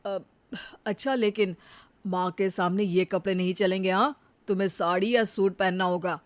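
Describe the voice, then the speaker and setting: A woman's voice: mid-range voice, fairly even intonation, normal speed, lightly acted. A woman voicing an earnest young husband and dutiful son who talks in a plain, reasoning tone.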